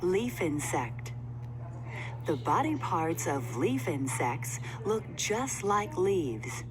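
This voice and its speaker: Woman doing a kids book read aloud, really slow, lots of up and down in her voice, bit of background noise. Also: fairly low pitch, sing-song.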